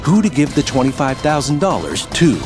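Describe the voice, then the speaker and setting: A man's voice: mid-range voice, sing-song, brisk. A man reading dramatic scripted narration for a game show promo. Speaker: trailer narrator. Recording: music behind narration.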